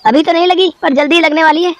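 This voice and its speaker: Woman as naive young lad, very high voice, excitable, earnest, chatty, cartoon story dialogue. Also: fast, dry recording. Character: naive, eager, excitable young male customer. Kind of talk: acted Hindi moral-story cartoon dialogue.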